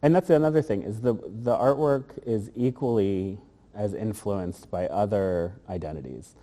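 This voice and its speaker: A man's voice: on the low side, moderate pace, dark tone, in a large hall. A man answering interview questions in a thoughtful, rambling way, with many fillers and restarts, recorded in a gallery. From grew up in Germany, Korea and the United States. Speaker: interviewed artist.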